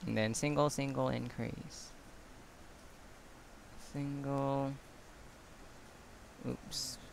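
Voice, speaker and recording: A man giving a quiet step-by-step craft tutorial, counting stitches as he works off camera. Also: medium pitch, fairly even intonation, creaky, a little hiss or hum. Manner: slow, quiet counting while working with hands. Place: indoors at a table. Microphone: camera on hands, speaker off screen.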